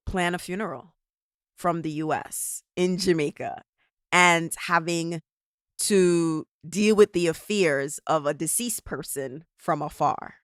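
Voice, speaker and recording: A woman hosts a podcast in a chatty way, thinking aloud as she goes. Her voice is low-pitched, and the recording is dry and close. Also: fairly bright tone, no echo. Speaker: podcast host. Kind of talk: podcast interview.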